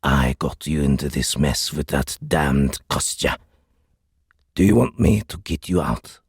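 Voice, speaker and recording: A man voicing a grave, weary alien soldier who speaks softly and solemnly in an audiobook. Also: rumbling low, lively intonation, unhurried, creaky, husky, no echo, studio quality, pitched below the performer's own voice, full character voice. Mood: grave, resigned. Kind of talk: audiobook character voice.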